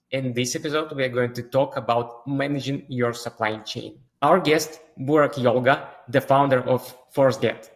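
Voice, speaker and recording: A man hosting a podcast, reading a scripted intro and asking his guest questions. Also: medium pitch. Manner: reads intro from script, then asks questions with fillers. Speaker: podcast host.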